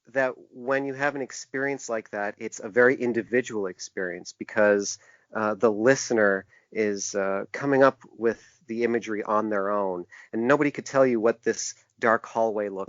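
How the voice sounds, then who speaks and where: A man giving thoughtful, halting answers as an interview guest, often repeating words as he thinks. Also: lowish voice, fairly bright tone, no echo. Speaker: interview guest, publisher and graphic designer. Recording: dull, lo-fi, dry sound.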